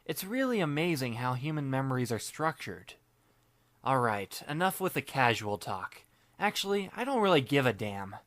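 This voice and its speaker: A man narrating a fan audiobook in the first person as a wry, laid-back high school student, deadpan and musing. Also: moderate pace, dry recording. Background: music, plucked guitar.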